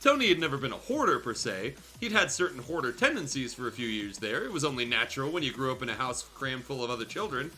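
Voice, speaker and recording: A man's voice: medium pitch, sing-song, quick pace, few pauses, pushed and bright. A man reading fan fiction aloud for comedy as a show host, breaking off into casual, irreverent, sweary asides.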